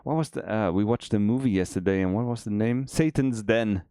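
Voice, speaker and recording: A man with a cold, his voice extra deep, chatting easygoing and rambling with many restarts while streaming game development. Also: brisk, dark tone, clear, resonant tone, dry recording, clean studio sound. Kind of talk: casual chat on a dev stream.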